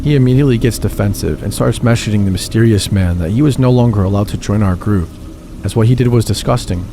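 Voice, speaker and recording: A man narrating first-person gaming horror stories in a steady, measured reading. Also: on the low side, background noise. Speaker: channel host reading submitted stories. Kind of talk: scripted horror story narration.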